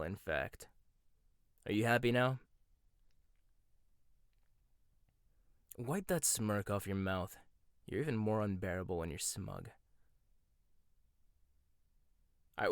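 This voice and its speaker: A young man voicing a proud, sharp-tongued male chef in a roleplay audio, speaking close to the mic. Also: medium pitch, lively intonation, moderate pace, no room sound, lightly acted. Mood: grudging. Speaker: voice actor. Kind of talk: boyfriend ASMR roleplay.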